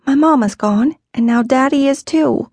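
A woman's voice: sits high, normal speed, darkish tone, slightly rough, dry recording, voice pushed up. A woman voicing a young runaway girl who is earnest, stubborn and emphatic. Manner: pleading, emphatic.

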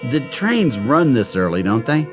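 Radio play role, for a male actor: edgy young man, mid-pitched, lively intonation, music behind. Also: normal speed, lightly acted. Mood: polite, casual. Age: young adult. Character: uneasy, polite young man, increasingly agitated loner. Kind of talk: radio drama acting.

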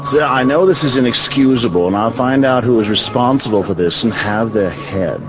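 An actor playing an anxious, obsequious major-domo in a radio drama, formal and eager to please. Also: male voice, medium pitch, brisk, lightly acted. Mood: anxious, deferential. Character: flustered, servile male major-domo addressing his master. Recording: dull lo-fi audio under loud music.

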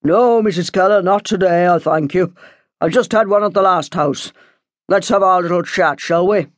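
A woman voicing a paternal male parish priest in an audiobook, measured, coaxing and moralising. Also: on the low side, no echo, close to an everyday voice. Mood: polite.

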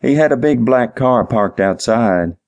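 Man playing a higher-voiced dim-witted old hotel clerk. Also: animated, darkish tone. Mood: eager, simple. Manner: slow-witted, literal, folksy ungrammatical speech. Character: dim-witted, eager hotel night manager in his sixties.

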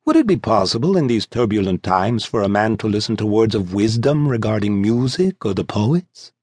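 A man voicing a refined, languid young nobleman in an audiobook, haughty and bored, speaking formally and with measured disdain. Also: lowish voice, lively intonation, darkish tone, husky, dry recording. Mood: weary. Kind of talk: audiobook character voice.

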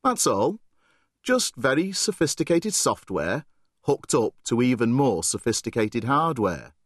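A man's voice: mid-range voice, a little slow, no room sound, clean studio sound. A man voicing an amused middle-aged father who teases his son. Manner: teasing, relaxed.

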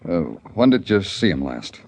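An actor playing a hard-boiled police lieutenant who narrates a 1950s radio crime drama, with a dry, wisecracking delivery. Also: male voice, low-pitched, sing-song, normal speed, darkish tone, no room sound. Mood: stern. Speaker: narrator and lead.

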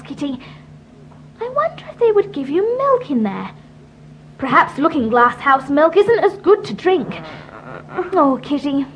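A woman's voice: high-pitched, a little hiss or hum, rough recording. An actress playing an eager, imaginative little girl in an audiobook drama.

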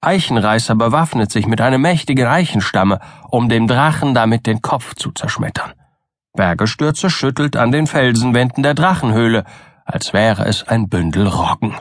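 A man narrating a scripted fairy tale for children in a dramatic, deliberate storytelling manner. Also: mid-range voice, lively intonation, dry recording, studio quality. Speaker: audiobook narrator.

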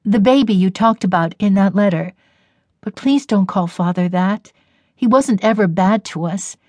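A woman voicing a grave, weary young woman who speaks earnestly and quietly. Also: medium pitch, moderate pace, no echo, lightly acted.

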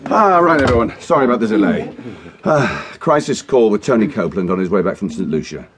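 A man playing a harried theatre director in a radio drama, addressing his cast in a brisk, matter-of-fact way. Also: fairly low pitch, expressive, a crowd in the background, lightly acted.

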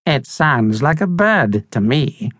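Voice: mid-range voice, very animated, slow, fairly bright tone, no echo, studio quality, heavily acted. A narrator playing a bossy, boastful male pig adventurer. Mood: bossy, dismissive. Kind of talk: storybook character reading.

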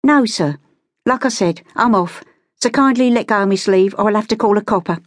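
Narrator acting a ragged Victorian girl beggar, high voice, guarded, streetwise, defiant, storybook reading. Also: fairly quick, dry recording, studio quality, close to an everyday voice. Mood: dismissive. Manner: streetwise, uneducated speech, guarded and cheeky. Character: poor, wary, defiant sixteen-year-old London beggar girl.

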